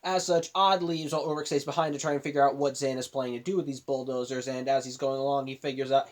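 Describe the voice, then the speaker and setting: A man's voice: mid-range voice, darkish tone. A man with a cold and a nasal voice, casually recapping a cartoon episode for his vlog, rambling with frequent restarts and self-corrections. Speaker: show reviewer.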